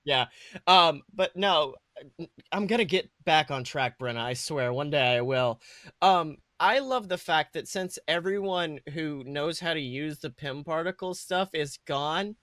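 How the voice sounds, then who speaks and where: A man co-hosting a podcast in a casual, comedic style, animated and crude, swearing freely. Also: a little high, a little slow, no room sound. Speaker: podcast co-host.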